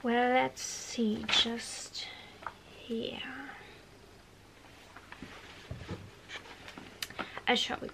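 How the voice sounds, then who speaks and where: A woman playing a bookish, exasperated young witch in an ASMR roleplay, halting and bossy, often whispering. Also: fairly high pitch, little pitch movement, creaky, full character voice. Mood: lecturing. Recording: faint background noise.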